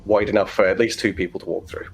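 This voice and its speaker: A man's voice: low-pitched, steady tone, fast, very noisy. A man narrating a tabletop roleplaying game as the game master.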